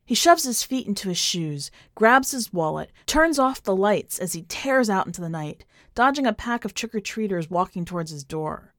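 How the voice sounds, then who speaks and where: A woman reading a short story in an expressive, scripted narration style. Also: medium pitch, normal speed, few pauses, no room sound, clean studio sound. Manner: expressive, acts out dialogue.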